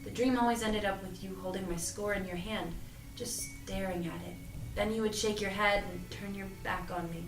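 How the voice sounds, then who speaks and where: A woman's voice: mid-range voice, steady tone, normal speed, background noise, natural voice. Woman playing earnest young student, roomy and distant. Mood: anxious, confiding. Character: earnest, anxious young female student, painter's apprentice. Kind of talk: audio drama table read. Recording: roomy, off-mic, music underneath.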